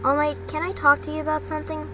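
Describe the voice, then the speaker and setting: A woman's voice: sits high, monotone, fast talker, bright, forceful tone, pitched above the performer's own voice. Woman voicing an anxious, earnest teenage boy, distant mic. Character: vulnerable, anxious teenage boy hero student. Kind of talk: fan fiction audio reading. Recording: distant mic, clearly noisy background.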